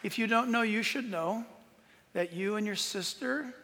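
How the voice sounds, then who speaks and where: A man giving an informal, earnest preaching talk to an audience as a Bible teacher, emphatic, with dramatic pauses. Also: sits high, gravelly, noisy background. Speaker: Bible teacher and tour leader. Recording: long hall reverb.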